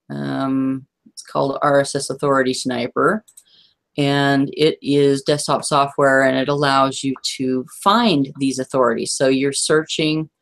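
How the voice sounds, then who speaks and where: A hoarse woman presenting in a webinar, walking listeners through a chart and checking that they follow. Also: a bass voice. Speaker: guest presenter.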